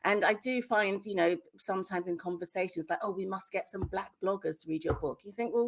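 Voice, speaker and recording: A woman with a British accent giving emphatic, opinionated, plain-spoken answers as a novelist in an interview. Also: fairly low pitch. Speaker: guest, debut novelist.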